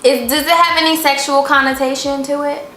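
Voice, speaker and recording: Casting: playful, inquisitive woman co-host, a little higher voice, echoey room with soft music. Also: fairly even intonation, a little fast, slight background noise. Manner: curious, playful, asks many questions. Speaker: co-host asking about Jamaican phrases. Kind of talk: casual couple vlog chat.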